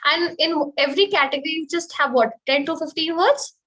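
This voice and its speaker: A woman's voice: sits high, fairly quick, a bit bright, rough recording. A woman with an Indian accent teaching students online, often checking in with "okay?". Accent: Indian English. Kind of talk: online class explanation. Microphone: video call.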